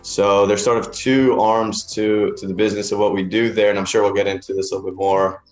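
A man's voice: on the low side, level tone, brisk, a little mellow, faint music behind. A man in his thirties, originally from Israel, talking casually as an interview guest with many fillers and restarts. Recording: roomy echoey room.

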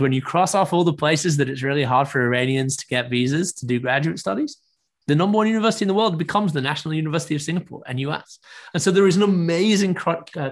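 A man being interviewed, explaining his views fluently with frequent fillers. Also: on the high side. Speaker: guest, startup investor. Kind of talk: casual interview.

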